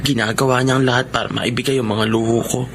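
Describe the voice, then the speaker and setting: A man's voice: mid-range voice, flat delivery, quick pace, background noise, lightly acted. A man playing a sensitive, discontented but loving teenage son in a Filipino radio drama, with a sincere, youthful delivery. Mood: remorseful. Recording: clear music bed under voice.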